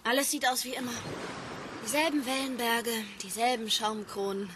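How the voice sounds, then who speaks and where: A woman's voice: on the high side, moderate pace, noisy background, lightly acted. An actress playing a concerned, plain-spoken woman in a radio drama, questioning her partner in a down-to-earth way. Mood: reflective, concerned. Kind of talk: German radio drama dialogue.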